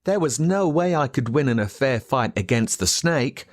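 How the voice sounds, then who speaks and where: An Australian man reading a story aloud as a dramatic narrator, expressive and measured with telling pauses. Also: mid-range voice, dry recording, clean studio sound. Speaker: audiobook narrator. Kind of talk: dramatic scripted storytelling in first person.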